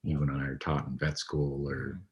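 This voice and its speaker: A man in his thirties, a veterinary radiologist being interviewed, talking in a low, even, unhurried way with frequent ums. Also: lo-fi sound. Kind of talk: conversational interview.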